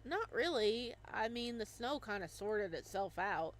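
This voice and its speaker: A woman's voice: mid-range voice, expressive, unhurried, a little hiss or hum, natural voice. A woman voicing a cheerful, goofy young male god of winter who stammers and rambles bashfully. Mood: sheepish.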